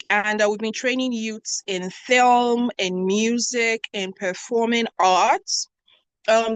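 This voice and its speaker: Female guest panelist, Nigerian accent, mid-pitched voice, very dry close sound. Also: a bit bright, dry recording. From southwest Nigeria. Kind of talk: self-introduction at a virtual talk. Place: online video call.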